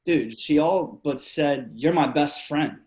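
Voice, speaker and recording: Casting: man playing excitable, theatrical best pal, slightly high voice, grandiose and slangy, echoey room. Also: takes it slowly. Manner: effusive, grandiose, casual slangy delivery. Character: over-dramatic, pleading, excitable male best friend. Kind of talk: theatrical readers-theatre stage acting.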